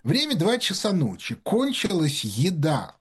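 A man's voice: sits high, wildly swooping pitch, a little slow, breathy edge. A man telling rambling anecdotes in casual talk-show conversation, full of fillers and restarts. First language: Russian.

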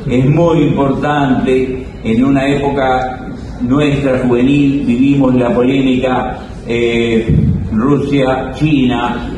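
An older man giving an unscripted political speech as a delegate, halting and deliberate in his phrasing. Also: mid-range voice. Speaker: conference delegate. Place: large conference hall. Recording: very echoey, distant, noisy hall.

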